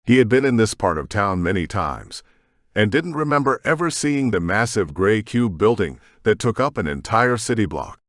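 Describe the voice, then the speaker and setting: Low, expressive male audiobook narrator. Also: moderate pace, dry recording, clean studio sound. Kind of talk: scripted sci-fi story narration.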